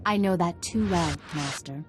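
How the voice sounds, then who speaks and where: A woman's voice: on the low side, moderate pace, natural voice. Actress as a solemn, dutiful warrior woman. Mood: stern. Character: solemn, dutiful female Jedi knight. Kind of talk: video game cutscene acting. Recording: clear music behind the voice.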